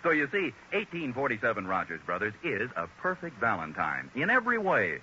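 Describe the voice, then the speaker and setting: Very bright, punchy American radio announcer, male. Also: mid-range voice, wildly swooping pitch, a little fast. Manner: polished salesman's delivery. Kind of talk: 1940s radio commercial announcing. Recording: muffled 1940s radio recording, faint noise.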